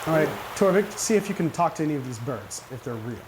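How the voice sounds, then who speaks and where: A man's voice: fairly high pitch, expressive, fast talker. A man playing a tabletop game, casually asking questions and chatting with the group. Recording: noisy room recording.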